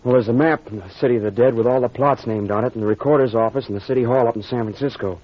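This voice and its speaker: A man's voice: medium pitch, very fast, lightly acted. A young man playing a curious, earnest adventurer in a 1940s radio mystery. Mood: curious, attentive. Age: young adult. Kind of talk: 1940s old-time radio mystery acting. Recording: dull, lo-fi vintage radio recording.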